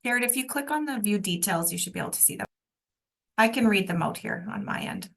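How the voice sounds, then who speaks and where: A woman's voice: mid-range voice, lively intonation, a little fast, creaky, a bit bright. A woman speaking casually as an instructor helping to host a meeting, reading out short responses.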